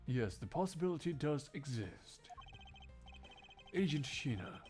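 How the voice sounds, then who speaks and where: A man playing a cool, cerebral investigator with a measured, formal, confident delivery in a game fandub. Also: fairly high pitch, big pitch swings, a little mellow. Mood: composed, pointed. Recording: music behind the voice.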